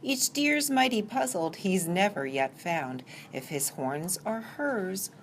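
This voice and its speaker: A woman's voice: medium pitch, expressive, takes it slowly. A woman on staff reading a rhyming storybook aloud. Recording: faint background room noise.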